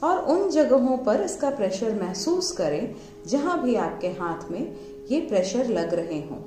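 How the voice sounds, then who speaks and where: A woman with an Indian accent guides a meditation, giving measured instructions in short phrases with pauses between them. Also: a little high, big pitch swings, mellow, a little hiss or hum. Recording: roomy echo, music underneath. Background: music.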